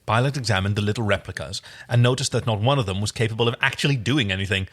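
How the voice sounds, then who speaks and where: A man's voice: fairly low pitch, quick pace. A man co-hosting a fan fiction reading, moving between story narration and casual chat. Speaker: podcast co-host reading fan fiction aloud.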